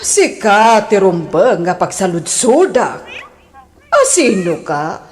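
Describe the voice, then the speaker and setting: A woman's voice: medium pitch, very animated, slow pace, darkish tone, faint music behind. Theatrical jealous woman in an echoing hall. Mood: indignant, jealous. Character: jealous, possessive, quarrelsome returning woman in love. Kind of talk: Ilocano radio comedy drama acting.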